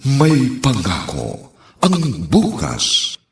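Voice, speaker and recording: A man's voice: medium pitch, wildly swooping pitch, drawn-out words, background noise. A man narrating a radio drama in a solemn, theatrical style, drawing out his title phrases.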